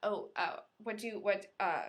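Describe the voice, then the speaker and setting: A woman's voice: mid-range voice, measured pace. A woman co-hosting a podcast, chatting casually and enthusiastically about a book. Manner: enthusiastic, gushing. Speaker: podcast co-host. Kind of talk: casual book-discussion chat.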